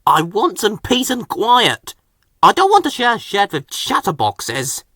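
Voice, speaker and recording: A man voicing a big, irritable male tender engine who craves quiet, in a children's storybook. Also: high-pitched, wildly swooping pitch, a little slow, dry recording, clean studio sound, pitched above the performer's own voice, exaggerated voice. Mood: exasperated. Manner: muttering, irritable.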